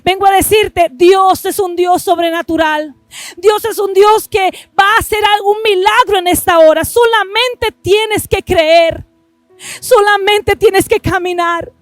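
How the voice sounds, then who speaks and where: High-voiced woman preaching fervently. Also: no room sound, soft background music. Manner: impassioned, repeats "listen to me", swings between rushed and drawn-out phrases. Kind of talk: fervent televised sermon and offering appeal.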